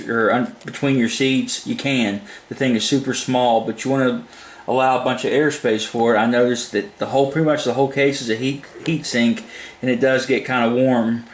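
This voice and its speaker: A man giving a casual, unscripted product demo in a plain-spoken, informal, rambling way. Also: medium pitch. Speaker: hobbyist product reviewer. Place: indoors at a home desk. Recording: echoey room, faint background noise.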